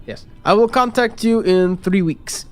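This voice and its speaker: A man's voice: high-pitched, measured pace, darkish tone. A man voicing a shady fixer in a tabletop game, formal and dry, with clipped, matter-of-fact phrasing. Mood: businesslike. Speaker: game master. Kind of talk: tabletop roleplay.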